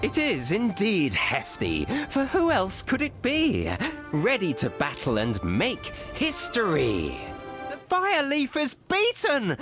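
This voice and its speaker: Smurf poet narrator, rhyming storybook style, theatrical and singsong, huge pitch swings, slow delivery, guitar music behind. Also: male voice, full character voice. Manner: theatrical, singsong, trails off into bashful asides. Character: cheerful male Smurf poet, small blue storyteller. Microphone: studio voice-over. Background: gentle guitar music.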